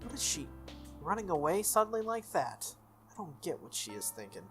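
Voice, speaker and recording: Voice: medium pitch, big pitch swings, moderate pace, a bit bright. Someone reading as grumbly earnest teen schoolboy hero, visual novel, blunt, kinda puzzled, music behind. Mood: baffled. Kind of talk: visual novel dialogue read aloud. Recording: music under the voice.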